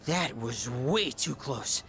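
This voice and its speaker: An actor voicing a gluttonous, self-important high-school boy in a video game, in a bombastic, theatrical manner. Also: expressive, slow pace, a bit bright, a little hiss or hum. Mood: flustered. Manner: bombastic, dramatic swings between squeals and low asides. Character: gluttonous, pompous, theatrical male high-school student, self-styled gourmet.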